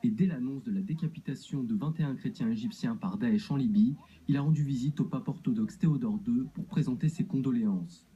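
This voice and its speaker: A man narrating a scripted TV news report in an even, measured way. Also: medium pitch, dull audio.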